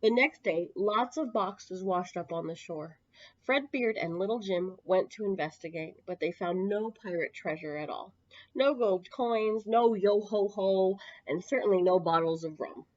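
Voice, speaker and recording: A woman's voice: mid-range voice, unhurried, darkish tone, dry recording. A woman reads a children's story aloud in a mid-range voice. She leans on key words and stretches them out for effect. The sound is dry and a little lo-fi, with the top end dulled. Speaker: children's storyteller at a public library. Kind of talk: expressive picture-book read-aloud for young children. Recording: dull lo-fi, close dry sound.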